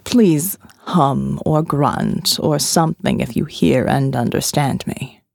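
A woman voicing a smooth, elegant male captor who calmly lectures his prisoner in an audiobook. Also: a bass voice, slow pace, no room sound, clean studio sound, voice pushed down, heavily acted. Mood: smoothly menacing. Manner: calm, smooth and menacing, lecturing. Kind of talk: audiobook character voice.

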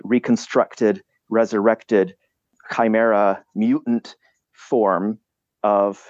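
A man speaking as a podcast guest, explaining ideas in a halting, reflective way with many restarts and fillers. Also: lowish voice, darkish tone, dry recording, dull audio.

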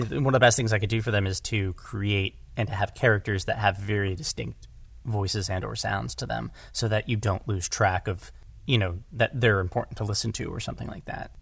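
Low creaky-voiced man, casual and rambling. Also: a bit bright, dry recording. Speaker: audio drama director, podcast guest. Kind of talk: casual interview conversation.

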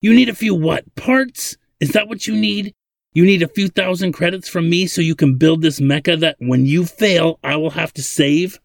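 Man playing a stern, grumbling old inventor, creaky. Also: on the high side, normal speed, no room sound, studio quality, voice pushed up. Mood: scornful. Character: grumbling, hot-tempered old male inventor, stern father.

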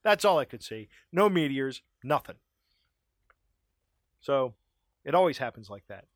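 A man from Maryland co-hosting a casual podcast, ranting in an animated way with frequent laughs, his voice starting to go. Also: mid-range voice, a little slow, no echo, clean studio sound. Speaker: podcast co-host.

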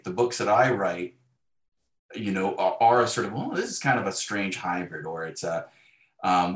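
A man talking reflectively and haltingly as a novelist being interviewed, often voicing imagined remarks. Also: fairly low pitch, a bit bright. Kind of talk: thoughtful conversational interview. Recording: roomy, echoing sound.

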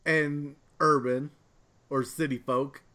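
A man's voice: medium pitch, fairly even intonation, takes it slowly, bright, forceful tone. A man hosting a podcast in casual chat, joking around and asking curious questions. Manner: joking, inquisitive. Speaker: podcast host.

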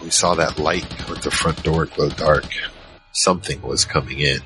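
An actor playing a huge, shaken survivor recounting a monster battle in a halting first-person audio drama narration. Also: male voice, fairly even intonation. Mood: tense, shaken. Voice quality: very low, heavy voice. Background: music.

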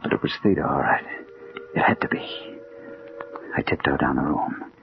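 An actor playing a hard-boiled American detective, narrating and acting with dramatic intensity in a 1940s radio crime drama. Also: male voice, extremely low pitch, normal speed, creaky, music in the background. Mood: tense, hushed. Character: hard-boiled male private detective bent on revenge. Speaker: narrator and lead character. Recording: muffled old radio recording with hiss.

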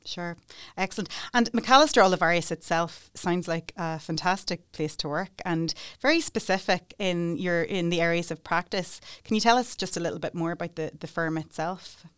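Woman podcast host, smooth clear voice, lowish pitch, dry close sound, friendly questions. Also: moderate pace, fairly bright tone, dry recording. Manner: friendly, scripted introductions.

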